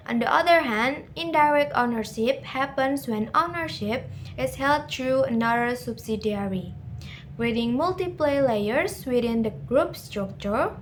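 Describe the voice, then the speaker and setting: A young woman with an Indonesian accent giving a careful, formal rehearsed slide presentation as a student. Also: on the high side. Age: young adult. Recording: slight background noise.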